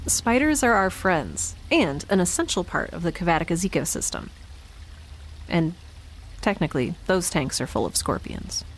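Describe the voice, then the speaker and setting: A woman's voice: fairly low pitch, big pitch swings, normal speed, breathy edge, heavily acted, poor audio. A woman playing a chatty, quirky, anxiously upbeat space dweller in an audio drama, rambling wryly and naturally. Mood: upbeat, defensive. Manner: rambling, wry, self-reassuring. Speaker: lead character. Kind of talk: naturalistic audio drama acting, talking to herself.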